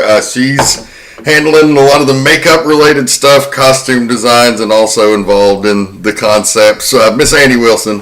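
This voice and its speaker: A man's voice: mid-range voice, fairly bright tone, low-quality recording. A man hosting a horror podcast, introducing and narrating film history in a conversational way. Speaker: podcast host.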